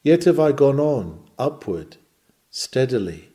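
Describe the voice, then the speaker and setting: A man reading a scripted sermon aloud in an earnest, exhorting manner, with deliberate emphasis on key words. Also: mid-range voice, big pitch swings, warm tone. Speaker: reader of a historic sermon.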